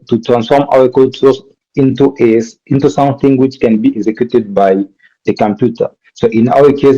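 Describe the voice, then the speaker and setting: A man's voice: medium pitch, warm tone, muffled recording. A man from DR Congo with a French accent, teaching a live coding workshop and often stopping to correct himself mid-sentence. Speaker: workshop instructor.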